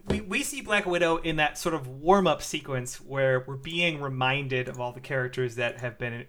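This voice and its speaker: A man's voice: medium pitch, normal speed, pushed and bright. A man co-hosting a podcast, chatting casually, hesitating often with restarts and fillers. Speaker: podcast co-host. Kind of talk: casual chat.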